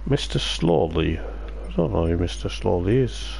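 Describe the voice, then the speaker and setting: A man's voice: low-pitched, expressive, a little slow, gravelly, a little mellow, a little hiss or hum. A man hosting a casual sim racing livestream as commentator, chatty and self-mocking, his strained voice starting to give out. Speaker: livestream host and race commentator.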